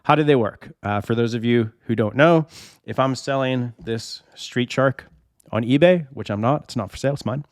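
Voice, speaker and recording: A man's voice: mid-range voice, moderate pace, dry recording, clean studio sound. Under-the-weather man explaining casually. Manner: chatty, repeats himself, builds a long hypothetical with rhetorical questions. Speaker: reseller channel host.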